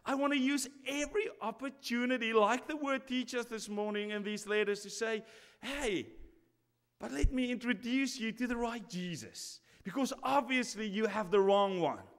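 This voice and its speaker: Man, 40s, pastor telling a sermon story, animated, voices both sides; high voice, big hall echo. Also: moderate pace. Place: church sanctuary, at a pulpit. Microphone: stand mic at pulpit. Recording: long reverb of a church hall.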